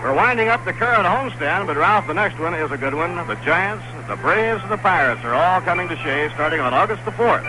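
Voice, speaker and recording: A man calls play-by-play on a 1960s baseball radio broadcast. His voice sits a little high, and he is brisk and easygoing. Steady hiss and noise run under the old recording. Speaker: play-by-play announcer. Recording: noisy vintage radio broadcast.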